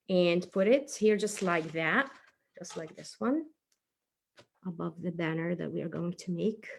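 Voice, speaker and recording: A woman's voice: mid-range voice, darkish tone. A woman presenting a craft for young children, talking casually and encouragingly as she thinks aloud through each step. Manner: chatty, encouraging, thinking aloud while working with hands. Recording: faint background noise, small clicks.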